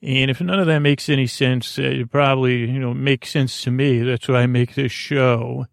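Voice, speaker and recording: A man hosting a sleep podcast with a creaky voice, rambling gently and haltingly through soothing, slightly silly tangents. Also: mid-range voice, fairly even intonation, no room sound, studio quality. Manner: meandering, halting, frequent tangents. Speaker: podcast host. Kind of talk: rambling bedtime monologue to lull listeners to sleep.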